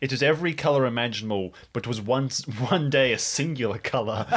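A man's voice: mid-range voice, animated, normal speed, a bit bright, breathy edge. A man playing in an improvised audio drama, casually narrating comic action.

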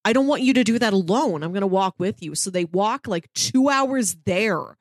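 A woman's voice: mid-range voice, expressive, normal speed, no echo. Casual female podcaster, dry close sound. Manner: conversational, peppers speech with "like". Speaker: podcast co-host. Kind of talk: casual discussion and retelling of a story.

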